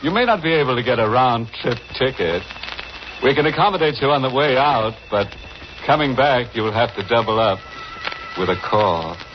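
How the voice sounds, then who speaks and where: An actor playing a ghoulish, punning horror show host in theatrical, drawn-out 1940s radio style. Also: male voice, medium pitch, animated, close to an everyday voice. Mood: macabre glee. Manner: theatrical, drawn-out, darkly jocular delivery. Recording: muffled, noisy old radio recording.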